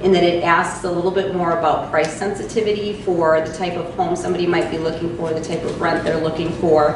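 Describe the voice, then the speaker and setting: A woman's voice: fairly low pitch, little pitch movement, fairly quick. A woman leading a public housing meeting, walking the group through a survey with frequent fillers. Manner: explanatory, many fillers. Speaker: meeting facilitator. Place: church hall meeting. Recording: echoey room from far off with noise.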